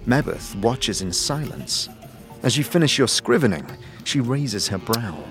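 A man's voice: mid-range voice, animated, unhurried. A man reading scripted narration for a fantasy audio drama. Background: music.

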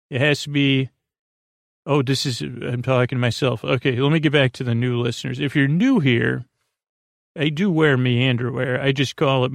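A man with a very creaky, gravelly voice rambles through a sleep podcast monologue, recorded close and dry. He is soothing and gently whimsical, and keeps trailing off, stammering and interrupting himself as he meanders. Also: mid-range voice, dry recording. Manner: meandering, hesitant, self-interrupting with stammered false starts. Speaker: podcast host.

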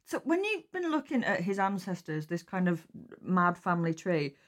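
A woman's voice: fairly low pitch, very animated, normal speed. A woman hosting a casual chat interview, jokey and informal as she reacts and asks questions. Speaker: podcast host and interviewer.

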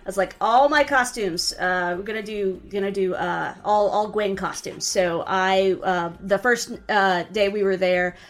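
A woman's voice: medium pitch, unhurried, few pauses. A woman co-hosting a podcast who tells stories in a big, chatty voice. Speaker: podcast co-host. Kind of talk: casual chat.

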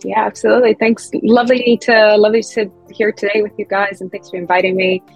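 A woman's voice: mid-range voice, level tone, quick pace, vocal fry, a little mellow, faint music behind, low-quality recording. A woman in her thirties, originally from Bulgaria, chatting freely as a podcast guest about her career, with many fillers and restarts. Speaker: guest, head of people experience. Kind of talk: conversational podcast interview.

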